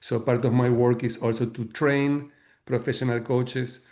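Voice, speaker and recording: Middle-aged Argentine man, Spanish-accented English, steady flat delivery, methodical guest speaker. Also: medium pitch. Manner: measured, explanatory, frequent fillers and restarts. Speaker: guest author and leadership coach. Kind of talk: webinar talk.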